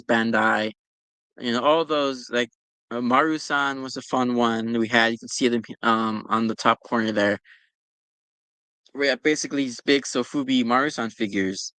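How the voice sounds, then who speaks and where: A man's voice: medium pitch, vocal fry, pushed and bright, no room sound. A young man chatting casually about working at a store, rambling with lots of ums and you-knows. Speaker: former pop-up store worker answering questions. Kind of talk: casual chat.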